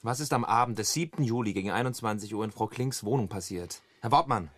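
A man's voice: on the low side, quick pace, no room sound, lightly acted. An actor playing a dry, wry male police detective in a radio crime drama. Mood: pressing. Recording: loud background noise, vehicle ambience.

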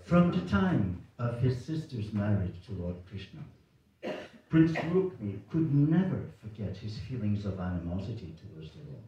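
A man reads a scripted narration with a lively, rising and falling delivery. He is heard from a distance in a hall full of echo, and the sound is a bit muffled. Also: fairly low pitch, normal speed. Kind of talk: scripted reading aloud. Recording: echoey hall, distant, slightly dull.

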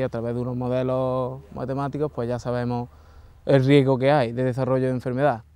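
A man's voice: medium pitch, dark tone, clear, resonant tone, no room sound. A young man with a Spain accent giving a technical explanation as an agricultural technician. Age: young adult. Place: outdoors beside olive rows.

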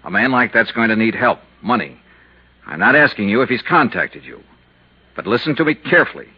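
An actor playing a cool, shrewd insurance investigator in a 1950s radio drama, terse, measured and matter-of-fact. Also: male voice, medium pitch, animated, breathy edge. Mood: cool, persuasive. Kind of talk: 1950s radio drama, hard-boiled narration and dialogue. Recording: muffled 1950s radio recording.

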